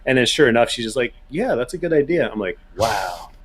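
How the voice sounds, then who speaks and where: A man's voice: mid-range voice, big pitch swings, brisk, slight background noise. A man with an American accent chatting casually as a guest on a podcast. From United States. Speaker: podcast guest, gun YouTuber. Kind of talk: casual chat.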